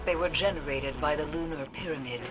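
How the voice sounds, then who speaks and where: Actress doing haunted mystic woman from a game, solemn n cryptic, careful diction, loud music under it. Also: sits low, a little fast, close to an everyday voice. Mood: ominous, solemn. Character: grave, haunted woman mystic guiding a soldier through danger. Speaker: mission guide. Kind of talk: dramatic video game acting.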